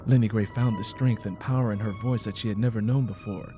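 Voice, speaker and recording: A man's voice: on the low side, fairly even intonation, quick pace, mellow, soft background music. A man reading a dramatic story as a scripted audiobook narration.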